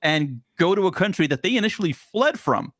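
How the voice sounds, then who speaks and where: A man's voice: high voice, big pitch swings, brisk, a bit bright, no echo, studio quality. A man originally from Poland, a guest on a casual podcast, talking earnestly and emphatically, often repeating words as he starts a thought.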